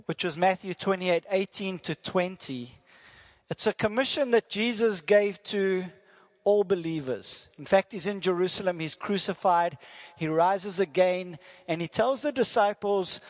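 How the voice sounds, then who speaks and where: High-voiced South African preacher in echoey hall. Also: male voice. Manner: friendly, jokey, asks the congregation questions. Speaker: visiting missionary preacher. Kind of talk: sermon with prayer. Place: church hall. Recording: church hall reverb.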